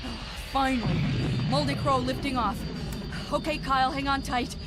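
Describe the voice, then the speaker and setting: Actress as a high-voiced wisecracking rebel pilot. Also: female voice, moderate pace, natural voice. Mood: wry. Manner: sarcastic. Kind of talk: audio drama acting. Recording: loud music behind the voice.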